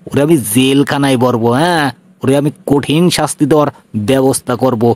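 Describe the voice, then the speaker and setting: A man's voice: mid-range voice, a little fast, darkish tone, no room sound, lightly acted. A man voicing a boastful, comically tough cartoon police inspector, emphatic, with a rural Bangladeshi accent. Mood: determined, blustering. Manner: swaggering, emphatic.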